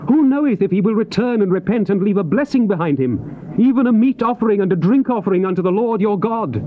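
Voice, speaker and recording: High-pitched prophet, solemnly declaiming, acted by a man. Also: lightly acted. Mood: solemn pleading. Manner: solemn, oratorical, emphatic phrasing. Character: solemn Old Testament prophet proclaiming God's word. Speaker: actor reading the Bible. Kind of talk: dramatized scripture reading, theatrical declamation. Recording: muffled, noisy old cassette tape.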